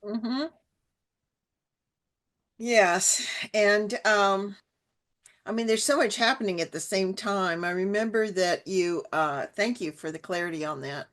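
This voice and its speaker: A woman's voice: mid-range voice, slow, long pauses, a bit bright. A woman hosting an interview, asking long, winding questions full of "like" and restarts. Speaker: podcast host.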